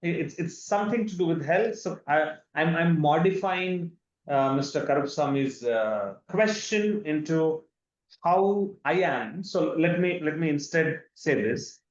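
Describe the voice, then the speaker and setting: A man's voice: a little high, animated, a little slow. A man in his thirties from India, presenting a live online demonstration with enthusiasm and frequent repeated words over a video call. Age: 30s. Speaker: webinar presenter. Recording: echoey room, dull lo-fi sound.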